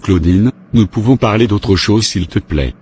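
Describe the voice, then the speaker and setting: Low-voiced man playing an insistent lover. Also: a little slow, a little mellow, a little hiss or hum, lightly acted. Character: impatient widowed male lover, insistent and sulky. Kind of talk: animated soap drama dialogue.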